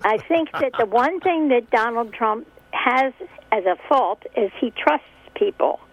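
A woman's voice: fairly high pitch, lively intonation, unhurried. A woman in her seventies calling a talk radio show, blunt and opinionated. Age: 70s. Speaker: caller. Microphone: telephone. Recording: muffled phone line.

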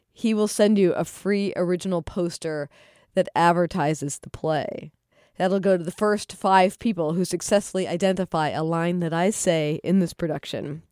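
A woman podcast host reads a prepared introduction. Her voice sits on the low side, her delivery is measured, and the sound is dry and close. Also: darkish tone, no echo.

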